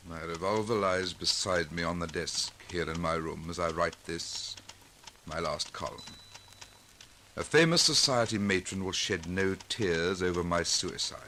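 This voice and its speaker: Very deep, grave doomed columnist. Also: male voice, unhurried, sharp tone. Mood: grave, bitter. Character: bitter, resolved male columnist writing suicide note. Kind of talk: 1940s radio drama monologue. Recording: noisy old radio recording, clicks.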